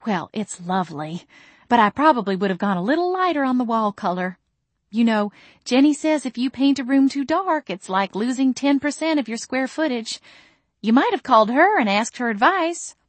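A woman's voice: fairly high pitch, lively intonation, moderate pace, dry recording, voice pushed up. A woman voicing an overbearing middle-aged mother who coos and gushes over her daughter in a storybook reading. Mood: critiquing. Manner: cooing, effusive, meddling.

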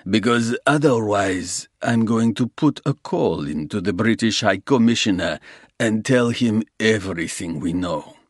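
A man voicing a smug, menacing Russian gangster who talks slowly and mocks his rival. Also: on the low side, no echo, clean studio sound, pitched above the performer's own voice. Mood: gloating. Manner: slow, gloating, mocking. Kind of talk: audiobook character reading.

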